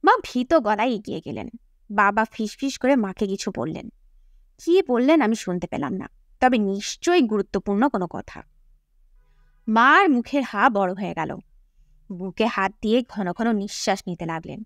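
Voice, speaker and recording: A woman's voice: mid-range voice, expressive, normal speed, dry recording, clean studio sound. A woman reading a novel aloud as an audiobook narrator, in scripted first-person storytelling.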